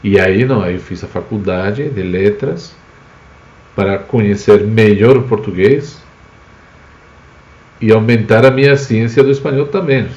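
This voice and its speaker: A man's voice: on the low side, measured pace, pauses often, a little mellow, reverberant, dull audio. A man from Spain, a native Spanish speaker with a Spanish accent, explains words with examples in an informal live language lesson as the teacher. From Spain, Basque Country.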